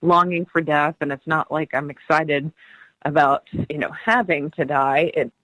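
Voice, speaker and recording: A woman's voice: deep voice, fairly even intonation, unhurried, fairly bright tone, dry recording, rough recording. A middle-aged woman guest on a radio interview, speaking earnestly and laughing often as she tells her stories.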